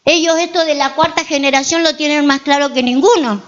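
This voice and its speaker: A woman's voice: sits high, fairly even intonation, fairly quick, dull audio. A woman pastor with an Argentine accent, preaching a sermon in church and telling stories with frequent rhetorical questions to the congregation. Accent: Argentine Spanish.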